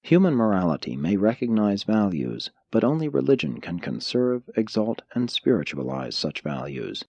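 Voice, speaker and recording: A man narrates an audiobook in a low voice with a husky edge. His delivery is formal and measured, yet the intonation moves widely as he reads scripted prose. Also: sing-song, a little mellow, dry recording, highs cut off. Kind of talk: scripted reading of religious philosophy.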